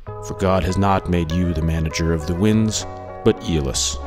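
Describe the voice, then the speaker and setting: A man reading philosophy as a scripted dramatic monologue, in a measured, deliberate manner. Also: low voice. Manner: measured, deliberate delivery in a radio monologue style. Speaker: audiobook reader. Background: music.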